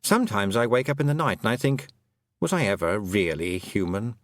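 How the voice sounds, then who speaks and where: A man's voice: fairly low pitch, big pitch swings, a little fast, no echo, studio quality. A man voicing a rambling, fretful talking toad.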